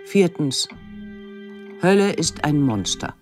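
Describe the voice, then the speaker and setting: Haunted woman artist, vividly expressive. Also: sits low, slow, faint music behind, full character voice. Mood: declaring. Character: imaginative, haunted woman artist narrating her fears and dreams. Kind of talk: literary radio drama monologue.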